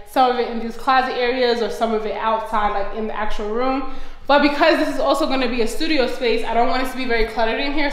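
Echo rings around a young American woman with a fairly high voice, recorded in empty rooms indoors. She talks in a casual, upbeat way, like a vlogger showing friends around her house. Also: a bit bright. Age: young adult. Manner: upbeat, enthusiastic. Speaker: vlogger giving a home tour. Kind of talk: casual chatty walk-through to camera.

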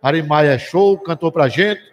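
A man with a Northeastern Brazilian accent hosting a live radio show in a folksy, exclamatory way, chatting and sending shout-outs. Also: a little high, normal speed, highs cut off. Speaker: radio host. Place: small indoor room used as a webcast studio. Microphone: desk microphone close to the mouth, headphones on.

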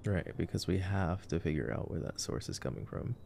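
A man playing a nerdy, affectionate boyfriend in an ASMR sleep-aid roleplay, soft-spoken, teasing and rambling as he comments on a movie. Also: low voice, animated, fairly quick, creaky, a little hiss or hum. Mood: wry, amused.

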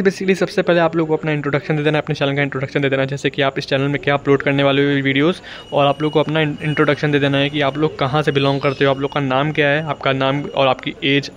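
A young man with an Indian accent giving a casual vlog tutorial, explaining informally and repetitively with English words mixed in. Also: fairly high pitch. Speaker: motovlogging YouTuber giving beginner tips. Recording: dull, lo-fi sound.

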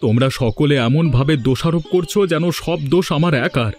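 An actor playing a troubled, fatalistic poor village eldest brother in a cartoon, earnest and grave. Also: male voice, on the high side, a little fast, darkish tone, soft background music, natural voice. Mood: gloomy, resigned. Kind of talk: cartoon moral story voice acting.

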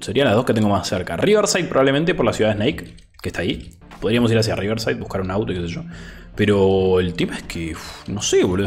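Laid-back Argentine young man, lowish voice. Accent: Argentine Spanish. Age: 20s. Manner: laid-back, chatty, says "boludo" often, reads chat aloud. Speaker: streamer. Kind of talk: casual gaming livestream chat. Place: home room, indoors. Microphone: over-ear headset.

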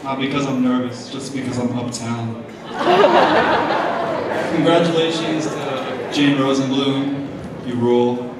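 A man's voice: mid-range voice, very animated, slow pace, long pauses. A young man from New Jersey giving a casual, joking acceptance speech at a gala, full of fillers, heard through the podium mic from the audience. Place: large hall, on stage at a gala. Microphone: podium mic through speakers, recorded from the audience. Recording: echoey hall from far off, noisy crowd. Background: crowd murmur and room noise.

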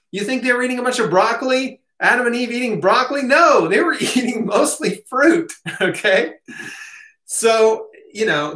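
A man's voice: sits high, pushed and bright. An American man in his thirties or forties, answering audience questions in a casual, opinionated, colloquial way. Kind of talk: casual Q&A talk to camera. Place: small home office, indoors. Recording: roomy echo of a home room.

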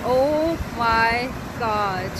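High-voiced excitable woman filming on the beach. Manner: exclaiming, laughing often. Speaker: family vlogger filming. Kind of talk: excited casual narration while filming. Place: outdoors on an ocean beach with surf. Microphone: handheld phone camera. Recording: loud surf noise behind.

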